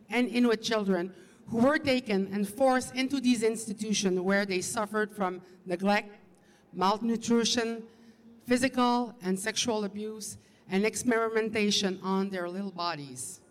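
A woman's voice: fairly high pitch, fairly even intonation, measured pace. A woman from Quebec, a native French speaker, co-hosting an event with solemn, scripted public speech. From Quebec, Canada. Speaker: event co-host. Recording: long reverb of public-address sound.

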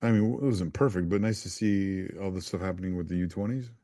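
A man co-hosting a casual football podcast, low-key and a little hesitant. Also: fast talker, mellow, no room sound, studio quality. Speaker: podcast co-host.

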